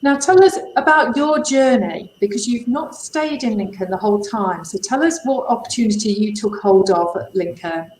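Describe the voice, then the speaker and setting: A woman's voice: fairly high pitch, animated, normal speed, few pauses, darkish tone, reverberant, a little hiss or hum, rough recording. A woman hosting a casual interview, asking upbeat questions that often trip over their own wording. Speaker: host.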